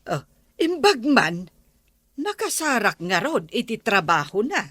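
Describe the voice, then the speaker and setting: A woman's voice: on the high side, big pitch swings, a little slow, a bit bright, no echo, exaggerated voice. Woman acting a chatty Ilocano neighbour, close dry sound. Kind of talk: Ilocano comedy radio drama acting.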